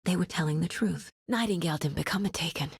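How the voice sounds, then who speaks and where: An actress playing a determined woman detective in a video game, speaking in a serious, focused, terse way with a soft, husky voice. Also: on the low side, fast, dry recording, studio quality, full character voice. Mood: focused, resolute. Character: determined woman detective investigating supernatural cult murders. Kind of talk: video game character lines, investigative inner monologue.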